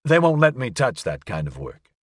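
Male narrator as private detective, brisk, placating, wry, animated intonation, dry close sound, audiobook. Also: mid-range voice, dry recording. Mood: matter-of-fact. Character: smooth-talking, practical male private detective. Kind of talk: audiobook character acting.

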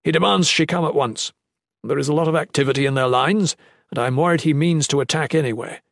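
A man playing a worried duke in an audiobook, giving an urgent, brisk military report. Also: on the high side, gravelly, a bit bright, no room sound, studio quality.

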